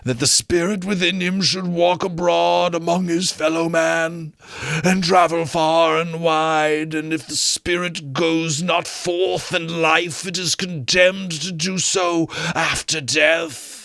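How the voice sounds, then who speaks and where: A man voicing the mournful ghost of an old chained businessman, wailing his lines slowly in a gravelly voice in a storybook reading. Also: on the high side, little pitch movement, a bit bright, dry recording, clean studio sound, soft background music, pitched above the performer's own voice, full character voice. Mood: solemn. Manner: slow drawn-out wailing delivery.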